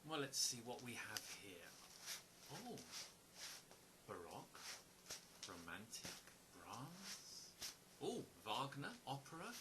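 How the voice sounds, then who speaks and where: An actor playing a polite, wistful writer with gentle wry humour in an audio play, sometimes hesitant. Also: male voice, medium pitch, big pitch swings, slow pace, long pauses, heavy background noise. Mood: musing.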